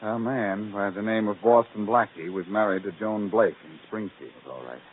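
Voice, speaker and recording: An actor playing a wry, confident male detective, wisecracking in brisk 1940s radio style. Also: fairly low pitch, natural voice. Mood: earnest. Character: wry, quick-witted amateur detective, confident man. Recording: tinny, noisy old radio recording.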